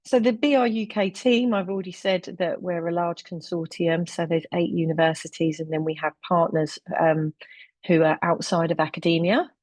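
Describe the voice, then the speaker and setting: A woman with a London accent walks through her slides in an online webinar, earnest and a little halting, with plenty of ums. Her voice sits on the low side. The recording is close and dry, with no room sound. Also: flat delivery, a little mellow, no room sound. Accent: London English. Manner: earnest, with frequent ums and uhs and slide cues. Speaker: deputy director presenting a webinar. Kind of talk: webinar presentation.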